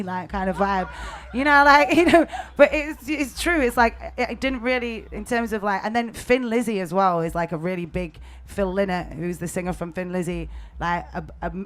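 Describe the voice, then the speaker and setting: A woman talks on a festival panel in a loose, rambling way. She strings her thoughts together casually, with plenty of fillers along the way. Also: medium pitch. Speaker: panel guest, music artist.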